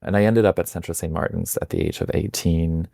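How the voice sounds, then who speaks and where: Deep-voiced man, thoughtful guest. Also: fairly even intonation, fairly quick, gravelly, warm tone, dry recording, clean studio sound. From Denmark. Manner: thoughtful, reflective, with small restarts. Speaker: guest, magazine founder. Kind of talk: conversational interview answers.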